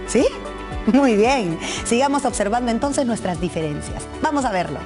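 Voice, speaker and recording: A woman's voice: on the high side, moderate pace, over loud music. A woman presenting an educational TV lesson, asking the viewers questions. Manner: animated, asks viewers questions. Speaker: TV teacher.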